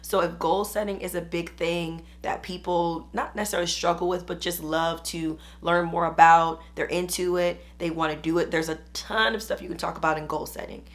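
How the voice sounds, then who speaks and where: A hoarse woman business coach, chatting upbeat to camera. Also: lowish voice, steady tone, moderate pace, hardly any pauses. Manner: chatty, upbeat, uses "y'all". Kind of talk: casual talk to camera.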